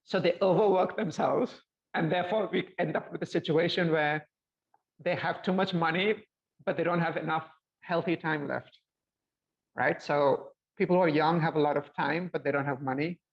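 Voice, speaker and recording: A man's voice: on the high side, moderate pace, gravelly. Reflective man on a video call. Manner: reflective, gestures a lot while talking. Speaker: guest. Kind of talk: casual video-call conversation. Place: home room with bookshelves. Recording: slightly dull lo-fi call audio.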